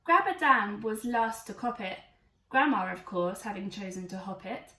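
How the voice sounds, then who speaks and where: A woman's voice: medium pitch, animated, normal speed, sharp tone, recorded from a distance. A woman reading a rhyming children's storybook aloud in a theatrical, emphatic way. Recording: echoey room, away from mic.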